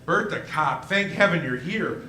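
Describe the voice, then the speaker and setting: A distraught family man acted by a man in an old-style radio play, pleading and stammering. The voice is high and sharp, leaping widely in pitch, in a roomy hall. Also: animated, a little slow. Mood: bewildered, pleading. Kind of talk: live stage re-creation of 1940s radio play. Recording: roomy stage sound, low rumbling noise.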